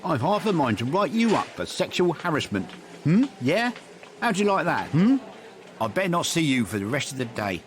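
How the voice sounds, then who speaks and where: An actor plays a bossy, self-important male ward manager in an audio drama. He sounds theatrical and mock-offended, with a somewhat high, lively voice. A faint hiss of noise sits behind him. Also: normal speed. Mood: petulant.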